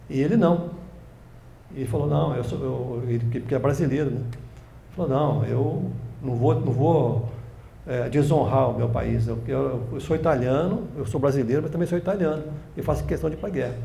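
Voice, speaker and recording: Gravelly older Brazilian man reminiscing. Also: on the high side, dark tone. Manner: rambling, halting storytelling with many restarts. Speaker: guest, journalist and storyteller. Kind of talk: relaxed interview conversation. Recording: echoey room, faint background noise.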